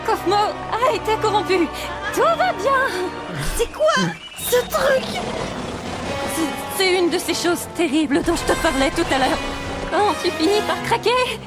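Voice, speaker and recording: A woman's voice: very high voice, lively intonation, measured pace, loud music behind the voice. A woman voicing an anxious, friendly young cartoon character in French dubbing, earnest and nervous. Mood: frightened. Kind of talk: French-dubbed animated series acting.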